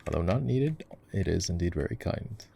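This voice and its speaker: Deep, creaky-voiced man chatting while gaming. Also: big pitch swings, normal speed, mellow. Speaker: voice actor roleplaying a gamer boyfriend. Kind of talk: casual gaming chat, ASMR roleplay. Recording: faint noise with keyboard and mouse clicks. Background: keyboard typing and clicking.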